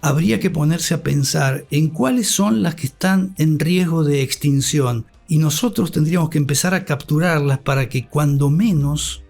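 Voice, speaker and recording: An Argentine man speaking thoughtfully as an interview guest and radio scholar, with deliberate delivery and a formal, learned vocabulary. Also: on the high side, vocal fry, a little mellow, faint music behind.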